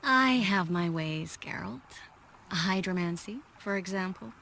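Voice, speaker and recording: An actress playing an affectionate, worldly sorceress in a video game, speaking softly and teasingly to her lover. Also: medium pitch, animated, a little slow, vocal fry, slight background noise. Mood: playful, intimate. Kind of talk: video game cutscene acting.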